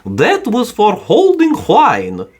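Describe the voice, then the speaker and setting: A woman voicing a kindly, tactful older gentleman in a children's storybook, explaining things in a measured way. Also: medium pitch, wildly swooping pitch, husky, pitched above the performer's own voice, exaggerated voice. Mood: informative. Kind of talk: children's storybook read-aloud.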